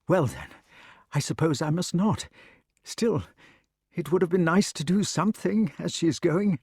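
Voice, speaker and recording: An excitable old king who squeals and frets in a high, wavering voice, as a male reader puts him on. He darts between worry and delight. The recording is close and dry. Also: moderate pace, husky, no room sound. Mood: fretful. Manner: high-pitched, breathless, exclaiming.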